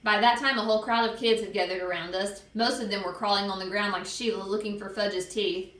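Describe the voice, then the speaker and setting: A woman reading a children's book aloud as an expressive storyteller. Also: mid-range voice, brisk, reverberant, poor audio.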